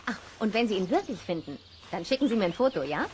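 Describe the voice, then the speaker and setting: An actress playing a friendly, knowledgeable wolf biologist in a children's audio drama, speaking politely and explaining things with gentle scepticism. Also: female voice, mid-range voice, very animated, fast talker, slight background noise, close to an everyday voice. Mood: friendly, doubtful.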